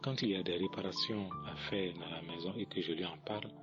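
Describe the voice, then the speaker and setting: A man telling a testimony in a measured, orderly way. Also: low-pitched, fairly even intonation, muffled recording, music in the background. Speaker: caller giving a testimony. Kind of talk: composed spoken testimony.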